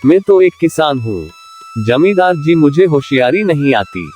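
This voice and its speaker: An actor voicing a clever, humble poor farmer in a cartoon, polite and quietly confident. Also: male voice, a little high, big pitch swings, moderate pace, faint music behind. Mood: calm.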